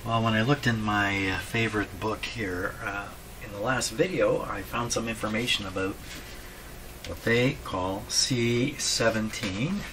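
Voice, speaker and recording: Clear background noise sits behind a man. He talks casually as a hobbyist host at a workbench in a small workshop, thinking out loud and putting questions to himself. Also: lowish voice, measured pace. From Canada. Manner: musing aloud, asking himself questions. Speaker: host, radio repair hobbyist. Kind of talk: casual hands-on workbench explanation. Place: small cluttered indoor electronics workshop.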